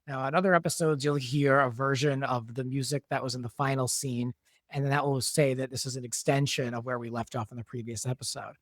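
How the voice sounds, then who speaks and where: A man's voice: medium pitch, fairly even intonation, fairly quick, dry recording, studio quality. A man who writes and produces drama, chatting casually and explaining his work as a guest. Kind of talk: casual behind-the-scenes discussion.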